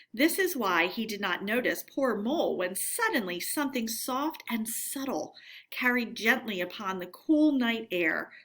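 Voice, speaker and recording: A woman reading aloud as a teacher, narrating a storybook expressively and deliberately. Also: a little high, pushed and bright.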